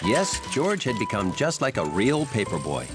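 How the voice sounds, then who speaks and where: A man's voice: medium pitch, huge pitch swings, moderate pace. A man acting as a genial storyteller, giving scripted narration for a children's cartoon. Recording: loud music behind the voice.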